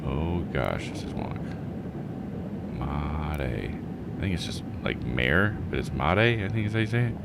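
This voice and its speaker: A man's voice: extremely low pitch, expressive, unhurried, creaky, heavy background noise. A man hosting a livestream of a video game, thinking aloud in casual, slangy commentary. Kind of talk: casual gaming stream commentary.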